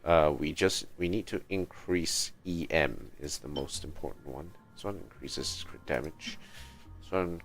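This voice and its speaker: Male game streamer, very low gravelly voice, halting think-aloud delivery, light music underneath. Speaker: game livestreamer. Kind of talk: casual livestream gameplay commentary.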